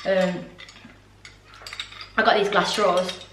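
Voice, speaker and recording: A young woman with a voice going hoarse, chatting casually through a home haul with lots of ums and asides. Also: lowish voice, level tone, takes it slowly, echoey room, distant mic, noisy background. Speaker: vlogger. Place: orangery at home, indoors.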